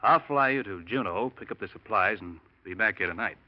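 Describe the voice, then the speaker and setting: An actor playing a plain, practical bush pilot in a 1940s radio drama. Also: male voice, fairly low pitch, animated, a little fast, natural voice. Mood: steady. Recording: dull old radio recording.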